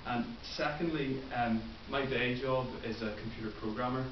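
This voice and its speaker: A man's voice: mid-range voice, normal speed. A man in his twenties with a Northern Irish accent, giving an earnest prepared speech with frequent ums and uhs. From Northern Ireland. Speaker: club member giving a first speech. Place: meeting room. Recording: roomy, distant, muffled with hiss.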